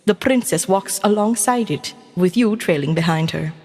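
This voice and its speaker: A woman reading scripted second-person fantasy narration in a measured storybook manner. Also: mid-range voice, a little mellow, in a large hall, soft background music. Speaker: VTuber storyteller.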